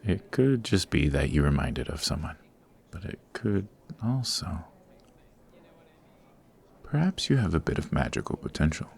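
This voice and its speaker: A man playing a suave, formal supervillain boss in an ASMR roleplay, patient and exacting, with measured, instructive speech. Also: rumbling low, creaky, slightly rough, heavily acted. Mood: intrigued, encouraging. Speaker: voice actor.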